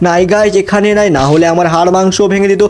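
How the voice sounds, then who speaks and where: A woman's voice: mid-range voice, fairly even intonation, fast talker, a little mellow. A woman hosting a scripted cartoon gameplay video, exclaiming and addressing viewers. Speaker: gameplay narrator and host.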